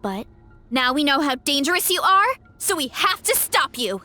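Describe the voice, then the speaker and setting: A woman's voice: high-pitched, big pitch swings, normal speed, pushed and bright, full character voice. A woman voicing a determined young heroine in a video game, earnest and resolute. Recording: music behind the voice.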